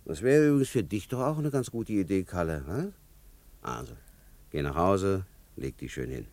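A man's voice: deep voice, lively intonation, moderate pace, darkish tone, dry recording. A man playing a good-humoured, indulgent policeman in a children's radio play, teasing with mock-solemn irony. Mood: ironic, indulgent.

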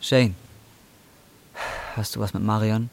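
A man's voice: on the low side, steady tone, takes it slowly, creaky. An actor playing a down-and-out, jealous man in his twenties with coarse, blunt street talk in a radio drama. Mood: suspicious. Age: 20s. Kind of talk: naturalistic radio drama acting.

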